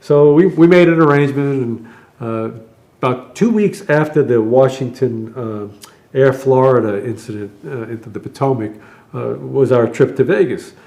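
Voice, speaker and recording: An older man with a mid-pitched voice chats as a podcast co-host. He tells stories from his working life in a casual, conversational way, wandering through small details as he goes. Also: normal speed, gravelly, dark tone, a little hiss or hum. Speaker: retired air traffic controller, podcast co-host. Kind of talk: casual chat and anecdote.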